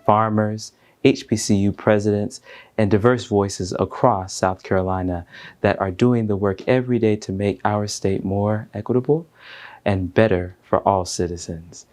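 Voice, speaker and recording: A man's voice: fairly low pitch, darkish tone, no room sound. A man hosting an interview podcast, speaking to listeners and a guest. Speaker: podcast host. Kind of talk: scripted intro, then conversational interview.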